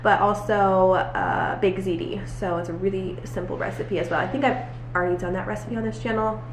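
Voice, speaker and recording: A young American woman talks casually at home, stuffy and congested from a cold, rambling and correcting herself as she goes. Her voice sits at an ordinary pitch for a woman. The room is echoey with a faint background hiss. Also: steady tone, brisk. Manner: chatty, rambling, self-correcting. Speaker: family vlogger, mother of four. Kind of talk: casual chat to camera.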